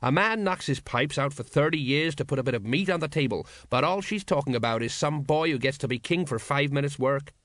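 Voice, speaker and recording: A man performs an older police sergeant for an audiobook. He grumbles emphatically and rattles easily, with very animated pitch in a dry recording. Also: fairly quick, few pauses, no room sound. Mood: grumbling. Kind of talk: audiobook character voice.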